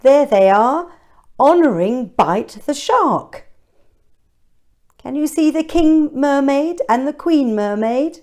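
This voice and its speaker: Slow, animated high-voiced woman storyteller. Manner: expressive, chatty asides to children. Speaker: children's storybook reader. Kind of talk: read-aloud storytelling with questions to young listeners.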